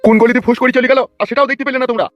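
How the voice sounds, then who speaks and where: A man playing a distraught old village husband in a comic cartoon, complaining and pleading in drawn-out wails. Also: extremely high pitch, steady tone. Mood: aggrieved. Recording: dull lo-fi sound with music behind.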